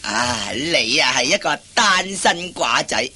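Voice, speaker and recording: Chatty, teasing Cantonese office worker. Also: male voice, medium pitch, animated, slow pace, sharp tone, slight background noise, exaggerated voice. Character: chatty married male office worker, envious of bachelor colleague. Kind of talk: Cantonese radio farce acting.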